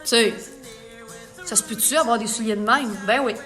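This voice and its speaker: Bright, high-voiced Quebec woman makeup artist. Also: normal speed, faint music behind. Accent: Quebec French. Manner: chatty, playful, reads viewer comments aloud. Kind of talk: live makeup tutorial chatting with viewers.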